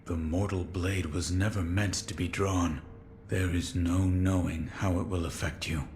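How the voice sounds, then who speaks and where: An actor playing a stoic, loyal shinobi in a video game, terse, grave and deferential. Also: male voice, low-pitched, steady tone, a little slow, darkish tone, slight background noise. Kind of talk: restrained video game acting.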